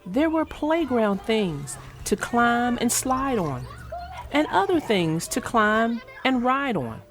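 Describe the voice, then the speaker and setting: Animated, sing-song woman reading aloud. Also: a little high, slow pace, soft background music. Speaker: storyteller. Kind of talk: children's book read-aloud.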